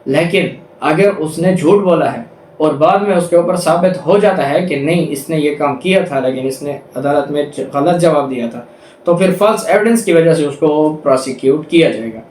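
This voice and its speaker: A man's voice: a little high, normal speed, darkish tone. A man with a Pakistani accent giving an explanatory law lecture in a didactic way, repeating and rephrasing his points. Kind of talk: explanatory law lecture, reading statutes aloud then explaining. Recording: roomy echo, distant mic, faint noise.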